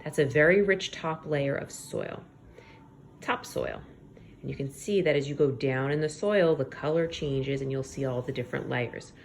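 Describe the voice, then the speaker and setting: A woman teacher reading aloud to young children, expressive, pausing to explain things simply and check in with her listeners. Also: a bass voice, creaky, a little hiss or hum. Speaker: elementary school teacher.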